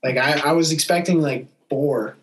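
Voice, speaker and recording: A man's voice: fairly high pitch, moderate pace. A young adult man hosting a casual podcast chat, telling outdoor anecdotes in an informal, enthusiastic way.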